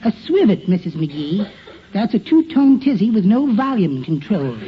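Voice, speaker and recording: An actor playing a meek, prim, henpecked little husband in a 1950s American radio comedy. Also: male voice, high-pitched, big pitch swings, normal speed. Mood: meekly aggrieved. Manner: prim, genteel phrasing. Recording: dull, noisy 1950 radio recording.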